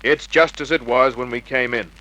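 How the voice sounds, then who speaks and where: A man plays a tough Australian private eye in a vintage radio drama. His voice is forceful and mid-pitched, clipped and wry as he narrates. The old recording carries a steady noise. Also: normal speed, lightly acted, low-quality recording. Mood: dry, questioning. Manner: clipped, hard-boiled, matter-of-fact. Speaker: lead actor and narrator. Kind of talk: 1950s radio detective drama acting.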